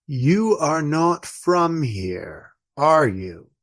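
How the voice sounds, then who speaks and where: A man playing an old friar in a radio play, speaking in formal, ornate, deliberate phrases with gallant fondness. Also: a little high, big pitch swings, full character voice. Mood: curious, probing. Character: courtly old Trappist friar, fond, wry, gallant storyteller.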